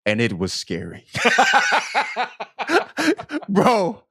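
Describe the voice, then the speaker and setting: An American man in his thirties co-hosting a podcast with casual comic banter, animated, slangy and profane. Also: on the low side, very slow, creaky. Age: 30s. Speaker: podcast co-host. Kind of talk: casual comedic banter and mock-dramatic reading.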